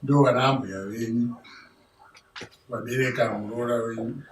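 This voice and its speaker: A roomy recording, made some way from the mic with clear noise behind. A man acts out a Zimbabwean family elder in a marriage drama, negotiating formally and deliberately as spokesman for the bride's fathers. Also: mid-range voice, fairly even intonation, far from the mic, natural voice. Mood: formal, introducing. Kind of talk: acted ceremonial negotiation speech.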